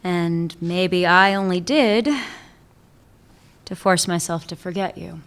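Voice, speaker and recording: A woman voicing a shrewd, tenacious female police chief in a radio play, speaking in a cool, measured way. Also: lowish voice, fairly even intonation, fairly bright tone, clear, resonant tone. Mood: tender.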